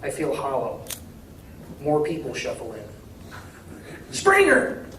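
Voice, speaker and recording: A man giving a live reading of literary fiction in a measured, deliberate way, recorded from a distance in a room. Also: on the high side, a little mellow. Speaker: author reading from his novel. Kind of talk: live literary reading, dramatic first-person narration. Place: indoor reading at a university. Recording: distant, echoey room with faint noise.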